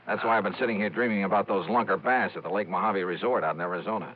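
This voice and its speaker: An actor playing a dry, teasing hardboiled insurance investigator in a 1950s radio drama. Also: male voice, low voice, racing, lightly acted. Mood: wry. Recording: scratchy tinny old radio broadcast with hiss.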